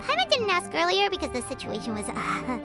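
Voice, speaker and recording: An actress voicing a tiny, chirpy, nosy fairy girl companion in a video game, squeaky and childlike. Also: huge pitch swings, brisk, loud music behind the voice, full character voice. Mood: curious, teasing. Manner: chirpy, refers to self in third person. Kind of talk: scripted video game dialogue.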